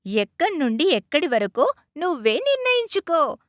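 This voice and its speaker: A woman's voice: high voice, very animated, normal speed, no room sound, studio quality. A woman voicing a proud, boastful crab in a children's story, with a taunting manner. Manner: taunting, challenging.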